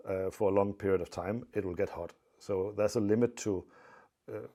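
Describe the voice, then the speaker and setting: A man with a very low, soft-edged and relaxed voice presents as a technical expert. He explains things informally and step by step, in a measured, matter-of-fact manner.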